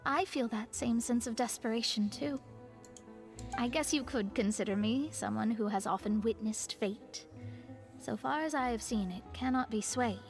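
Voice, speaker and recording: An actress plays a young astrologer mage in a video game. Her voice sits a little high, with earnest, articulate, philosophical phrasing and a proud formality. Soft music runs behind her. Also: moderate pace, natural voice. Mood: solemn, hopeful.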